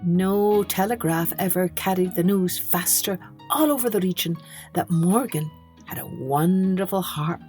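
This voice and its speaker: A woman's voice: medium pitch, a little mellow. A woman reading a children's story as a podcast storyteller, expressively, with dramatic emphasis on key words.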